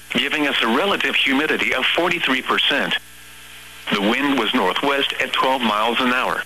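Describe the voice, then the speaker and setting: A synthetic male text-to-speech voice reading weather radio forecasts and observations in a measured, formal, impersonal way. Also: mid-range voice, sharp tone, rough recording. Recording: weather radio broadcast with hum and static.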